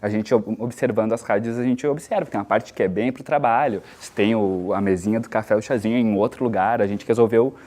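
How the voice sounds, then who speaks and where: A man giving an interview, haltingly, repeating words as he goes. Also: lowish voice, dark tone. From Brazil. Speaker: stage actor.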